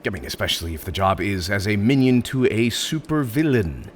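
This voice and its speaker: A man's voice: lowish voice, animated, fairly quick, slight background noise, lightly acted. A man playing a theatrical, polite but exasperated supervillain interviewer in a roleplay audio drama, hesitant and mock-professional, prone to chuckling. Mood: incredulous.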